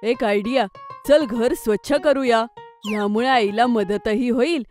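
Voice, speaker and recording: Woman as high-voiced boyish little kid. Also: measured pace, darkish tone, faint music behind, close to an everyday voice. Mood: eager. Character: young boy Gattu, eager, helpful, easily scared. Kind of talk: children's cartoon story voice acting.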